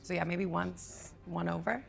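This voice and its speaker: A woman's voice: on the low side, fairly even intonation, measured pace, gravelly. A woman in her twenties giving careful, hedged opinions in a group chat. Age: 20s.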